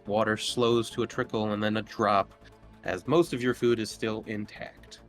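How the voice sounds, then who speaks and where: A man narrating as game master of a tabletop roleplaying game, improvising descriptions in a halting way. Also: fairly low pitch, little pitch movement. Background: music.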